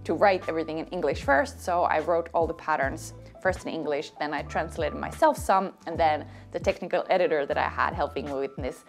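A woman in her thirties from Finland whose first language is Swedish, chatting casually to camera in her living room about making a knitting book, relaxed, with frequent fillers and light laughs. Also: sits low, brisk, few pauses, soft background music. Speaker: knitwear designer and vlogger. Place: bright living room at home.

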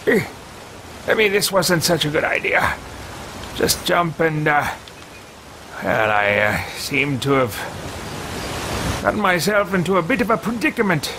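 A man's voice: a little high, expressive, a little slow, pauses often, noisy background. A man in his thirties voicing a pompous, scheming mage who mutters grandly to himself, improvising fantasy video game role-play. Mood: flustered, self-assured. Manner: theatrical, grandiose, muttering with hesitations. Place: small indoor room with shelves.

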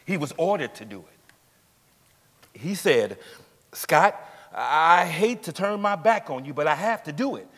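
An actor playing a steadfast working man of forty-nine on the witness stand, deliberate, hesitant and formally polite, in a dramatic stage reading. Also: on the high side, expressive, a bit bright, exaggerated voice. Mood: aggrieved, recounting. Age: middle-aged. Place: large indoor theatre stage. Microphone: lapel mic. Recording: echoey theatre stage, long reverb.

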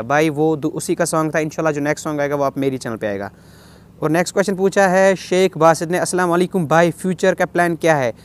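A young man with a fairly high voice and a Kashmiri accent hosts a casual question-and-answer vlog. He reads each question aloud and replies in a chatty way, dropping in bits of English. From Kashmir, India. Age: 20s. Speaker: vlogger answering viewer questions. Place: outdoors on a rooftop.

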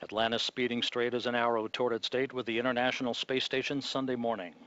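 A man giving live launch commentary from mission control, in a measured, calm announcer delivery. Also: medium pitch, no room sound, dull audio.

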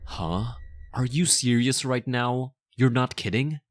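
A man playing a possessive, lovestruck mafia boss in an intimate ASMR roleplay, speaking softly and coaxingly, obsessive yet tender. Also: medium pitch, no room sound, soft background music. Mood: pleading, lovestruck. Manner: slow, soft, coaxing, close and intimate.

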